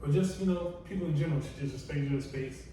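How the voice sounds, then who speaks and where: A man's voice: fairly high pitch, racing, a crowd in the background. A man on a podcast who talks bluntly and profanely, leaning on "you know what I'm saying" between thoughts. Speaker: podcast co-host. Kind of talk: casual podcast chat. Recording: echoey room, distant mic, faint noise.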